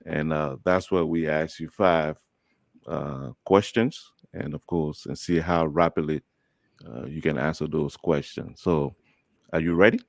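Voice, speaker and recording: A man's voice: a bass voice, steady tone, a little slow, vocal fry, no room sound, studio quality. A man from Liberia hosting a podcast interview, chatty and friendly, laughing easily as he asks questions. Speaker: host.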